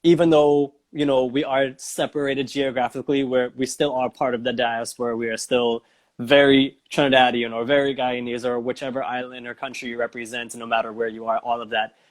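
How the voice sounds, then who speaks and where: A male talk show host chats casually with his guest in a medium-pitched voice. He is affirming and encouraging as he puts his questions. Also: little pitch movement, brisk. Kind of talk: casual interview.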